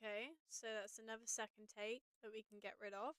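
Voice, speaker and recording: A woman with a slightly raspy but smooth, higher-pitched voice chats casually as she teaches audio editing on a live stream. She thinks aloud while she works. The sound is dry and close. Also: steady tone, a little fast, a bit bright, dry recording.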